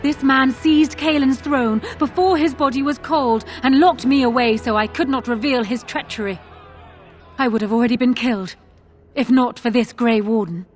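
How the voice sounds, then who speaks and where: A woman's voice: on the high side, moderate pace, soft background music, lightly acted. An actress playing a resolute young queen addressing her lords in a fantasy game, formal and declamatory. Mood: resolute accusation. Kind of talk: dramatic fantasy game oratory.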